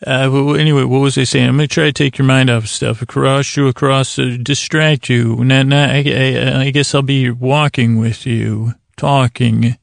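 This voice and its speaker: A man hosts a bedtime podcast in a creaky, fry-laden voice that barely rises or falls. He meanders, trips over words and interrupts himself, keeping a lulling, soothing tone. The sound is dry, with no room around it. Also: medium pitch, fairly even intonation, moderate pace, few pauses, no room sound. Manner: meandering, stammering, self-interrupting. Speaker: podcast host. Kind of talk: rambling bedtime monologue.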